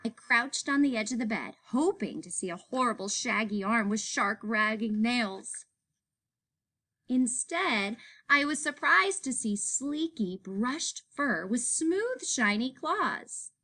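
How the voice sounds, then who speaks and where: A woman reading a children's picture book aloud in an expressive narrating style. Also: fairly high pitch, slow pace. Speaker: story reader.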